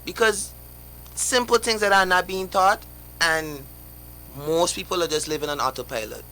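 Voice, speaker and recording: Young man, radio guest, bright forceful voice, somewhat high, passionate rhetorical questions. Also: moderate pace, slight background noise, rough recording. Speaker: interview guest. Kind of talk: live radio interview.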